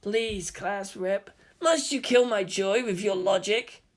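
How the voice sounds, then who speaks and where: A man voicing an excitable, gossipy nerdy schoolboy in an audiobook, eager and animated. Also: mid-range voice, slow pace, close to an everyday voice.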